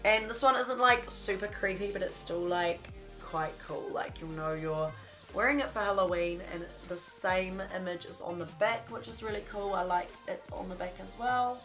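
A woman's voice: mid-range voice, normal speed, fairly bright tone, soft background music. A young woman, blocked up from a cold, gushing casually through a clothing haul in a small bedroom studio. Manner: chatty, gushing, lots of "like". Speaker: fashion vlogger.

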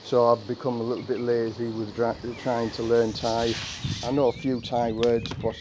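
An older man with an English accent chatting casually while washing his car, in a monotone voice and a rambling storytelling manner. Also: medium pitch, moderate pace, background noise. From England. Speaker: vlogger. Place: outdoor carport of a house. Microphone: camera mic from a few meters away. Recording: outdoor camera audio with birdsong. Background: birds and rural outdoor ambience.

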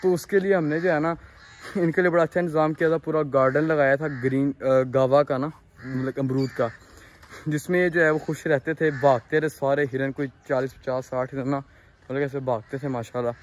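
A young man with a Pakistani accent, talking casually and haltingly to viewers as a farm-owning vlogger, outdoors on a phone. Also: medium pitch, flat delivery, a little hiss or hum. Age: young adult. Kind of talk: casual vlog tour, explaining to viewers. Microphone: handheld phone at arm's length.

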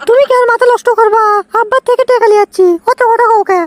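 A man's voice: very high voice, steady tone, quick pace. A man voicing a bossy, impatient young girlfriend in a comic cartoon, scolding with a Bogura accent from northern Bangladesh. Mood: exasperated, reasoning. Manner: scolding, exasperated.

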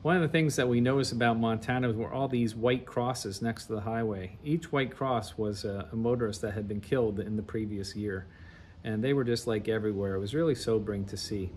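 A man's voice: on the low side, fairly quick, a little mellow, slight background noise. A middle-aged man narrating a travel slide show in a conversational, orderly and detailed way. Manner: recounts in an orderly, chronological, detailed way.

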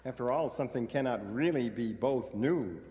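A man's voice: medium pitch, moderate pace, mellow, in a large hall, slight background noise, close to an everyday voice. A man playing a pompous pedantic stickler. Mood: prissy. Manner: precise, pompous, lecturing. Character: fussy pedantic male language stickler. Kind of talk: radio comedy acting before a live audience.

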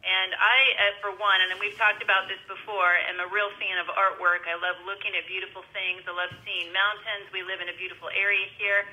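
Bright-voiced woman radio host. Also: medium pitch, level tone, fairly quick, hardly any pauses, poor audio. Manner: upbeat, prays on air. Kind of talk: radio interview. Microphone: headset.

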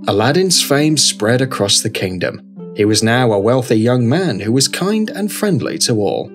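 A man's voice: mid-range voice, big pitch swings, normal speed. A man reading scripted narration for a children's fairy tale. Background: background music.

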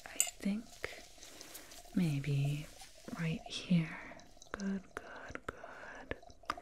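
A woman playing a soothing, proud alien dentist in an ASMR roleplay, whispering gently and repetitively up close. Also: low-pitched, drawn-out words. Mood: soothing, focused. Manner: gentle, repetitive, reassuring murmur. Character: soothing, proud alien dentist with eerie delight in sharp teeth. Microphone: binaural mic, up close. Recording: close binaural mic, clear noise.

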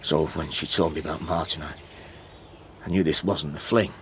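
A man in a radio drama playing a wounded, halting, self-deprecating husband in his thirties. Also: rumbling low, fairly even intonation, slight background noise. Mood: apologetic, sad. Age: 30s. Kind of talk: naturalistic radio drama acting.

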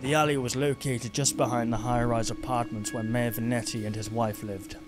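A rain-soaked crime game's narrator, a haunted detective acted by a man brooding in measured lines over the soundtrack. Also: medium pitch, flat delivery, music in the background, lightly acted. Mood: grim, suspicious. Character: brooding, haunted noir male detective, self-doubting monster.

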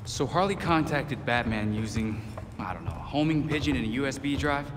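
A man's voice: medium pitch, animated, fairly quick, noisy background, natural voice. An actor playing a young adult male vigilante, wry but burdened, in a video game cutscene. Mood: puzzled. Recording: long hall reverb, music underneath. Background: music.

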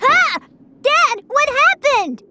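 A woman's voice: extremely high pitch, lively intonation, very slow, full character voice. A woman voicing an eager, innocent young boy fighter in an anime game, with a scratchy childlike voice. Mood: eager, alarmed. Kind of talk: English anime game voice acting.

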